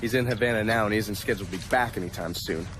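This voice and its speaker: An actor playing a cynical, sardonic government agent in a video game cutscene, talking in a dry, profane way. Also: male voice, on the low side, racing, close to an everyday voice. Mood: businesslike. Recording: clear outdoor traffic noise.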